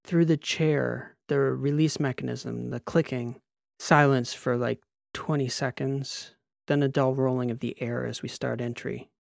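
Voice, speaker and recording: A man voicing a strained, defiant female lieutenant in an audiobook, answering in halting, clipped phrases. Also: mid-range voice, fairly even intonation, creaky, no echo, clean studio sound. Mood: strained, intent. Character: strained, defiant female army lieutenant recalling combat drop.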